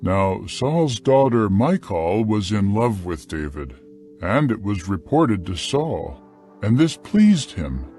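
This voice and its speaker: A man narrating a dramatized scripture account in a measured, deliberate storytelling style. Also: lowish voice, big pitch swings, mellow, faint music behind.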